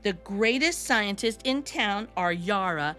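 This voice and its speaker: A woman reading a picture book aloud to young children with expressive, deliberate delivery and dramatic emphasis. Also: mid-range voice, over music. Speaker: children's read-aloud storyteller.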